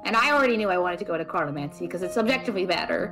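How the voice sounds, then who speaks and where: A woman playing an introverted, opinionated young magic student in an audio drama, with a halting, deadpan, teasing delivery. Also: lowish voice, soft background music, low-quality recording. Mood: dry, opinionated. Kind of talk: audio drama dialogue, naturalistic.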